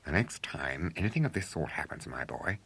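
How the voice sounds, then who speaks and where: Man as a deep, stern English father. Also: sing-song, fairly quick, a bit bright, slightly rough, heavily acted. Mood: grim warning. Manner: grim, admonishing. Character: stern, weary middle-aged English father.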